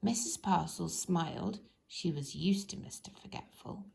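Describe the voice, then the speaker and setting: A woman's voice: fairly low pitch, unhurried, gravelly, a little mellow, slight background noise. A woman storyteller narrating a children's storybook.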